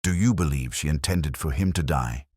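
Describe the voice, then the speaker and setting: Man as Victorian detective's companion, storybook reading, earnest, thoughtful, very low, dry close sound. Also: moderate pace, darkish tone, dry recording. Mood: grave, questioning.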